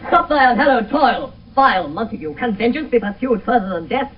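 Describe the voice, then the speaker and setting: Indignant young noble, declamatory Shakespearean verse, highly strung, muffled vintage radio play, off mic. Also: male voice, animated, brisk. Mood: outraged challenge. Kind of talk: 1970s radio Shakespeare acting. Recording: distant, muffled old radio broadcast.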